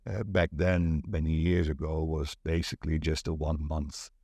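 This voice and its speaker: A mature man with a Dutch accent and a very low voice, recorded close and dry. He is an event organiser being interviewed, recounting things in a measured, deliberate way. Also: flat delivery, mellow, no room sound. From Netherlands.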